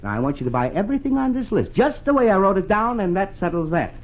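Blustery penny-pinching American father, 1940s radio comedy; highly animated delivery, thin tinny broadcast sound. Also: quick pace. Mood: blustering. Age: middle-aged. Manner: blustering, pompous, sputtering. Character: blustery, exasperated, penny-pinching middle-aged suburban father.

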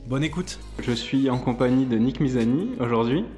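A man hosting a podcast, asking questions in a relaxed, conversational way with some fillers. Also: mid-range voice, moderate pace, echoey room, soft background music. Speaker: podcast host. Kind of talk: podcast interview.

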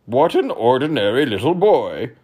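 A man voicing a huge, irritable old king who booms out slow, commanding orders. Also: big pitch swings, mellow, husky. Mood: imperious.

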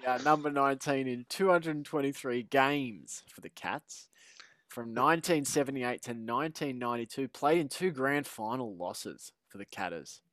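A man's voice: mid-range voice, fairly bright tone, dry recording. A man hosting a casual podcast chat, rambling and teasing his co-hosts with lots of laughter. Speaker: podcast host.

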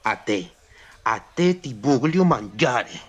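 An actor playing a flirtatious Italian immigrant suitor in a humorous radio soap, wooing in a mix of Italian and Spanish. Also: male voice, mid-range voice, very animated, takes it slowly, husky, exaggerated voice. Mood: amorous.

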